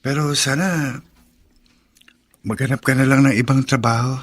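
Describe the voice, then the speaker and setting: An actor playing a kind, protective young neighbour in a Filipino radio drama, earnest and a little hesitant. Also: male voice, medium pitch, steady tone, moderate pace, natural voice. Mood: concerned.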